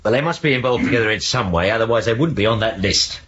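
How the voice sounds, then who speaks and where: Brusque, sardonic detective inspector, muffled recording. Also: male voice, on the low side, animated, fast, a bit bright, slightly rough. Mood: dry, sardonic. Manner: blunt, sardonic, informal. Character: dry, brusque male police detective inspector. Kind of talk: 1970s radio drama acting. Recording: dull lo-fi 1970s radio recording.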